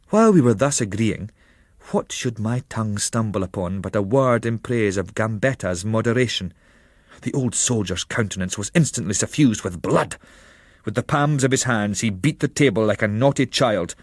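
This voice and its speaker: A man's voice: on the low side, normal speed, no room sound. Man narrating in a dry, close recording. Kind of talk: literary audiobook narration of a travel memoir.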